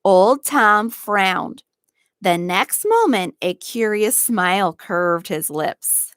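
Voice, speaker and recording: A woman teacher narrating a storybook aloud in a careful, expressive read-along delivery for learners. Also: mid-range voice, takes it slowly, smooth, dry recording, clean studio sound.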